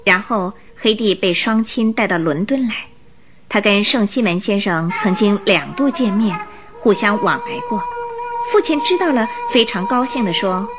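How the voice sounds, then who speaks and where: A woman narrating a radio drama in an expressive, emotive storytelling style from a script. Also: mid-range voice, moderate pace. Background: music.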